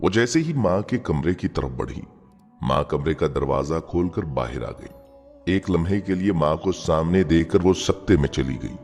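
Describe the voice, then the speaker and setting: A man's voice: low-pitched, expressive, measured pace, darkish tone. A man narrating a scripted moral story in an earnest, sentimental, dramatic way. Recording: long reverb, music bed behind. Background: background music.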